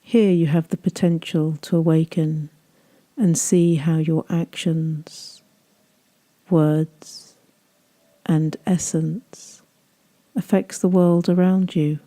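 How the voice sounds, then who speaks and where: A woman leading a guided meditation, speaking gently in soothing, unhurried phrases that trail off softly. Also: low-pitched, fairly even intonation.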